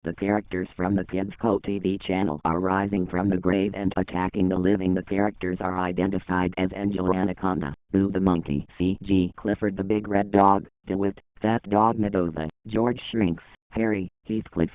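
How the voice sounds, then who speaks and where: A phone-like, dry broadcast recording carries a male announcer. He reads a scripted emergency warning in a flat, formal voice. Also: deep voice, normal speed, hardly any pauses, clean tone, no room sound.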